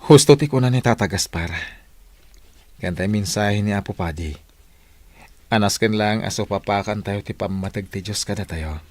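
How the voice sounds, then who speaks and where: A man's voice: lowish voice, normal speed, pauses often, darkish tone, close to an everyday voice. A man with an Ilocano accent acting a humble, devout family man in a radio drama. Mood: earnest, devout.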